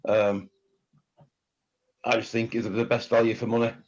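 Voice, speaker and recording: A man chatting on a video call in a halting way, often repeating words as he tells his story. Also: medium pitch, level tone, a little mellow, highs cut off. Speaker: community member. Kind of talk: casual video call chat.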